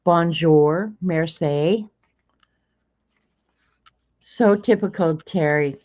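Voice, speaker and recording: Talk it through slowly and easily, as a woman in her sixties chatting to your stream, lower in your voice, half thinking aloud with little laughs. Keep it relaxed and unhurried. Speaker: streamer opening mail on stream. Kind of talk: casual livestream chat. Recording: slightly dull lo-fi stream audio.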